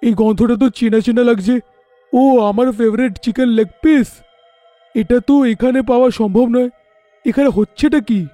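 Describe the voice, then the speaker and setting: A cheerful, curious city traveller, played by a male actor in a cartoon horror story. He sounds very high yet mellow and full of enthusiasm, dropping in English exclamations, close and dry. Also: normal speed, dry recording, faint music behind. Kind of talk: animated horror story voice acting.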